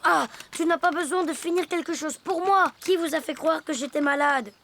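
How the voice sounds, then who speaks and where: A woman's voice: sits high, normal speed. A woman voicing an earnest, self-important young animal boy in a children's cartoon, with a know-it-all way of talking. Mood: proud, busy.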